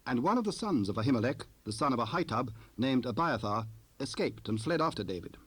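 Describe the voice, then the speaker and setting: A man narrating a dramatized scripture recording in a formal, measured storytelling manner. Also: mid-range voice, animated, fairly bright tone, husky.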